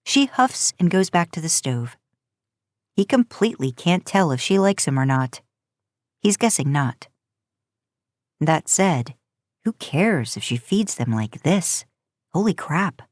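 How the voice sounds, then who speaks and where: Woman narrating a story audiobook style, kinda low voice, really dry sound no room. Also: very animated, slow, pauses often, a little mellow, no echo. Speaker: podcast host and fan fiction narrator.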